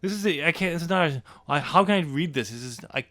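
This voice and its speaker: A man's voice: fairly high pitch, fast, no echo. A man hosting a podcast, chatting casually and laughing often about his own novel. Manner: laughs often, jokey and animated. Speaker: podcast host and author. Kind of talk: casual chat.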